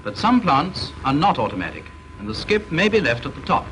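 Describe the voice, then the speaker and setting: A man's voice: a little high, big pitch swings, sharp tone, poor audio. Stern British man narrating an old training film. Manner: measured, instructive and stern. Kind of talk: formal scripted narration. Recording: vintage film soundtrack with faint hiss.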